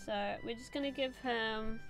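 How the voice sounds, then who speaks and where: A young woman talks her way through a drawing session on stream, rambling between chat questions. Her voice sits slightly high and she is full of "like" and "um". Quiet music plays behind her. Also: a little slow, poor audio. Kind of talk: casual live-stream chat while drawing.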